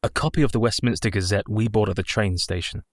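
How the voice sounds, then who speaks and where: Casting: man as sincere young Victorian husband, steadfast, fairly low voice, dry sound, radio drama. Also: fast, dry recording, natural voice. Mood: firm, earnest. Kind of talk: radio play acting.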